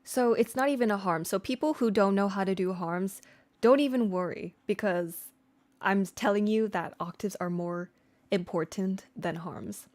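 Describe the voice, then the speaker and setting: A woman with a mid-pitched voice talks casually as she teaches singing technique on a livestream. She is informal and slangy, explaining things step by step and chatting with her viewers along the way. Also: normal speed, darkish tone. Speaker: streamer and singer teaching vocal layering. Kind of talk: casual livestream tutorial with chat replies.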